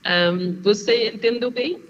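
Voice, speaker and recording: A woman's voice: on the high side, slow, highs cut off. A woman from France speaking Brazilian Portuguese with a French accent, giving a hesitant panel presentation over a remote call, full of fillers and restarts. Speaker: panel speaker, design school head. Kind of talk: halting presentation in a second language. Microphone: remote video call.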